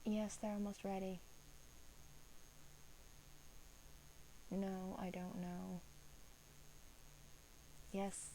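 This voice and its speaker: A woman acts out an ancient female vampire in an intimate ASMR roleplay. She speaks slowly and softly, drifting into whispers as she muses. She is smug and predatory, yet doting. Faint noise sits behind the voice. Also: mid-range voice, rough recording. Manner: slow, hushed, slipping into whispers, musing. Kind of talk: soft-spoken ASMR roleplay.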